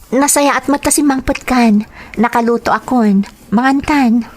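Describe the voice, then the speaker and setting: An actress with a Filipino Ilocano accent playing a dutiful, soft-spoken young wife in an audio drama. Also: female voice, fairly high pitch, moderate pace, close to an everyday voice. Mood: caring. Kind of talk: radio-style drama acting.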